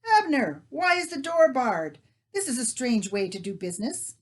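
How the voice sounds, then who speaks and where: A woman voicing an impatient, nosy man calling loudly through a barred door. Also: sits high, big pitch swings, moderate pace, pitched above the performer's own voice.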